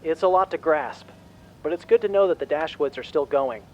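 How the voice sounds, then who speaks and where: A man's voice: fairly high pitch, a little fast, a little mellow, muffled recording, slight background noise, close to an everyday voice. A man playing a sincere, principled wasteland traveler in an audio drama, speaking a little hesitantly. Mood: thoughtful.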